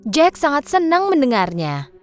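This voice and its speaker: Animated, high-pitched woman storyteller. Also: normal speed, soft background music. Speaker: narrator. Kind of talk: scripted children's fairy-tale narration.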